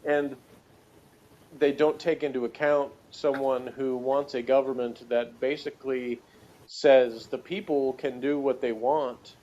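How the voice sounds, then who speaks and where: A man with a mid-pitched voice rambles through a casual review discussion. He talks in a halting, wordy way, circling his points. The sound is a little dull and lo-fi. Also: little pitch movement, warm tone. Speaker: podcast co-reviewer.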